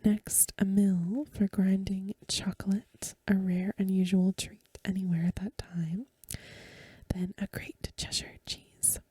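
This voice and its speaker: Breathy whispering woman reading ASMR. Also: medium pitch, flat delivery, dry recording. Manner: hushed, unhurried, soothing storytelling. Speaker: ASMR reader and host. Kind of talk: soft-spoken ASMR reading of a Victorian story. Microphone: close microphone.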